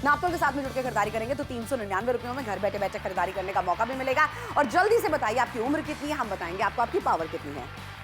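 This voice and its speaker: Woman shopping-channel host, high and rapid, pushy sales patter with music under it. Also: expressive. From Ghaziabad, India. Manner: persuasive, urgent, addresses viewers directly. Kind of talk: live teleshopping sales pitch.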